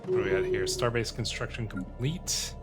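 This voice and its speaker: A man's voice: lowish voice, moderate pace. A man giving casual let's-play commentary as a gaming host, thinking aloud in rambling questions and reading out game text. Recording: music playing behind the voice. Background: game music.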